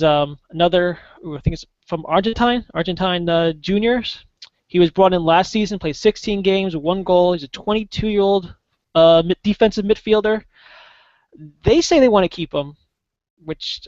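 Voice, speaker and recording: High-voiced man on a dry, dull line. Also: darkish tone, no echo. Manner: halting, many fillers and restarts. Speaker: guest soccer contributor. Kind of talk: casual podcast chat. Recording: dull lo-fi podcast audio, dry.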